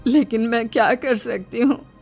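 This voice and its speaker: Woman as village mother-in-law, very high voice, earnest, caring, emphatic, cartoon story, music behind. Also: unhurried, creaky, a little mellow. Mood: concerned. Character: middle-aged veiled village mother-in-law, caring, newly bold.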